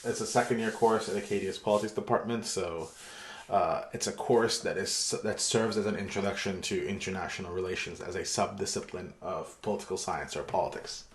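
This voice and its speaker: Chatty lecturer from Turkey, roomy echo. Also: male voice, on the low side, slight background noise. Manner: relaxed, chatty, many fillers. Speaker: university lecturer. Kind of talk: pre-recorded online lecture. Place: home room. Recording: echoey room.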